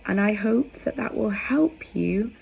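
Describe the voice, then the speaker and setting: A woman's voice: mid-range voice, lively intonation, unhurried, vocal fry, darkish tone, slightly rough, low-quality recording. A woman presenting in an informal instructional vlog, explaining conversationally with asides as she demonstrates, with faint background noise. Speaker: educator presenting storytelling props.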